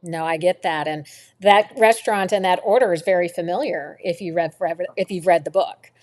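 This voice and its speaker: A woman's voice: on the low side, normal speed, darkish tone. Encouraging woman interview host. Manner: encouraging, with frequent mm-hmms. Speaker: host, book club interviewer. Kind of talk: live interview hosting. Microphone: video call.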